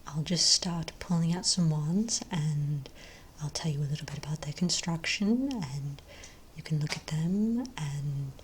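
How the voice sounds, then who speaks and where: A woman with a low, breathy voice performs a soft-spoken ASMR role play as a friendly keeper of a magic shop. She often drops close to a whisper and rambles gently with hesitant pauses. A faint noise sits behind her voice. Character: friendly long-established magic wand shop owner.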